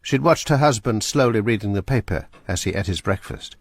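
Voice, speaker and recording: Husky, low male narrator. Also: animated, no room sound. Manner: measured and suspenseful. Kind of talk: scripted storytelling narration.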